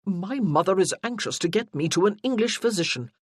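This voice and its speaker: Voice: mid-range voice, lively intonation, fairly quick, breathy edge, dry recording, studio quality. An audiobook narrator voicing a weary, irritated young nobleman in a period novel. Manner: weary, peevish.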